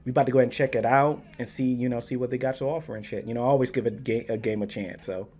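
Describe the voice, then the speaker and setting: A man's voice: mid-range voice, fairly even intonation, very fast, darkish tone, slight background noise. A man with an American accent giving slangy, profane, casual reaction commentary as a video host. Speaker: YouTube host reacting to a game trailer. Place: indoor gaming setup with desk. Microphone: microphone on boom arm, close.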